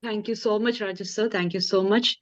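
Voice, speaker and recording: A woman's voice: mid-range voice, steady tone, brisk, dry recording. A woman with an Indian accent moderating a webinar in a polite, formal and deferential way. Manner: courteous, deferential. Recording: muffled, dry online meeting audio.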